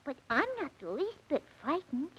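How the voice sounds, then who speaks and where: A woman's voice: high-pitched, very animated, moderate pace. A woman playing Goldilocks as a cheeky, playful little girl in old-time radio comedy acting, talking back to the storyteller. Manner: pert, chirpy, defies the script. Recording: dull, noisy old radio recording.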